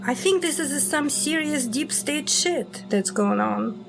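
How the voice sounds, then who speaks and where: A woman acting as a brash female secret agent on the run, with a high-pitched voice and a sardonic, sharp tongue. She speaks bluntly in audio drama dialogue, with faint music behind her. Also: lively intonation, takes it slowly, gravelly, heavily acted. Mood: serious.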